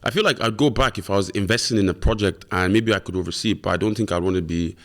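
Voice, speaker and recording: A young man who grew up in Ireland, chatting as a guest in a relaxed, slangy way with many fillers. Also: lowish voice, fast talker. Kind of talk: casual interview chat.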